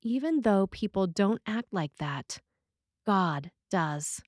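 A woman reads a prepared sermon in the role of a priest. Her voice sits at a middle pitch, and she phrases deliberately, pausing between thoughts. The sound is dry, with very little room around it. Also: expressive, no echo. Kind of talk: scripted sermon, read aloud.

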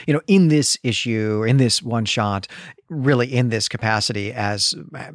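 A man's voice: mid-range voice, normal speed, dry recording, studio quality. A man hosting a podcast in casual discussion, stressing his points by repeating words. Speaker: podcast host.

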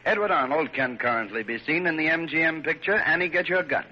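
A man's voice: on the high side, fairly quick, dull audio. A man reading credits as an announcer in an old-time radio style. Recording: noisy, band-limited old radio recording.

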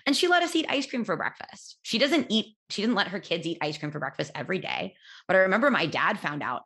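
A woman in her thirties speaks in a low, loud voice as she hosts her own podcast. She tells a personal story candidly and casually. She pauses on fillers and restarts her sentences as she goes. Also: husky. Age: 30s. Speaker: podcast host.

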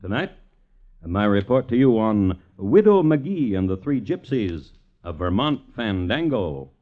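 A man hosting and narrating a vintage radio crime story in a measured, dryly wry storytelling manner. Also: lowish voice, very animated. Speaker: host and narrator. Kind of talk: 1950s radio scripted narration. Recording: muffled vintage radio with music. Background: musical score.

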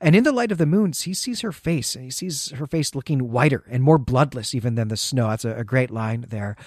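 A man's voice: mid-range voice, brisk, a little mellow, no room sound, clean studio sound. A man hosting a podcast, recapping in a conversational way with dramatic emphasis as he goes. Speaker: podcast host.

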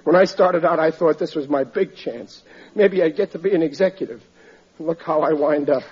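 A man's voice: fairly high pitch, normal speed, darkish tone, breathy edge. An actor playing a sulky, blustering working-class family man in a 1940s-style radio sitcom, grumbling in colloquial speech. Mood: sulky, bitter. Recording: muffled old radio recording, audience behind.

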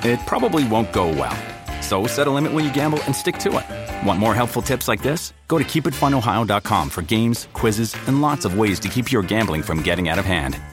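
A man's voice: lowish voice, lively intonation, fairly quick. A man reading a scripted public-service advert as a voice-over. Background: music.

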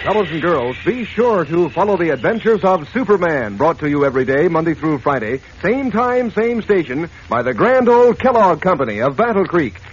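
A male announcer delivers an old-time radio sales pitch. His voice is on the high side and very animated. The recording is dull and a little noisy. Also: moderate pace, few pauses. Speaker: commercial announcer. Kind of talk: 1940s radio advertising pitch. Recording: muffled old radio recording, faint noise.

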